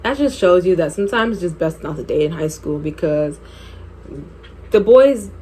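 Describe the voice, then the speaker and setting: A woman's voice: lowish voice, animated, moderate pace, a little mellow, a little hiss or hum, rough recording. A woman in her twenties telling a personal story while doing her makeup, chatty and candid, laughing often. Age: 20s. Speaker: beauty vlogger.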